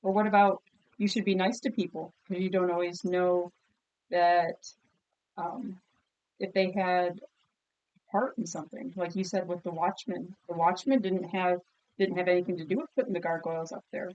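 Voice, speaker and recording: A woman's voice: fairly low pitch, steady tone, normal speed, darkish tone, highs cut off. A woman teacher leading a read-aloud vocabulary lesson with children, encouraging and questioning, enunciating words for pupils to repeat.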